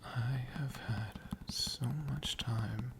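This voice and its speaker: A man's voice: medium pitch, steady tone, gravelly, heavy background noise. A man voicing a friendly, humble, bookish dragon in a whispered ASMR storytelling roleplay. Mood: hushed, friendly. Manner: soft whispering, slow and unhurried.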